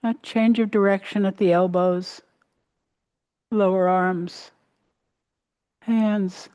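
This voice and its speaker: Slow, mellow woman guiding a meditation. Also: medium pitch, steady tone, clear, resonant tone, no room sound. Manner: gentle, unhurried, pausing between short phrases. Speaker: meditation teacher. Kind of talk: guided meditation, body scan.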